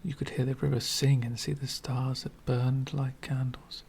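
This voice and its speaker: A man playing an elegant, centuries-old vampire host in an ASMR roleplay, lonely, wry and courtly, soft-spoken with frequent whispers and gentle condescension. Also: mid-range voice, level tone, normal speed, slight background noise, natural voice. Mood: disdainful, resigned. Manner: soft-spoken, often dropping into breathy whispers.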